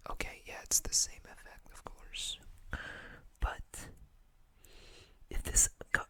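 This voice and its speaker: A woman speaks entirely in a breathy whisper, as an ASMR host does. She takes her time, letting each phrase come slowly. Her manner is gentle and casual, like informal show-and-tell.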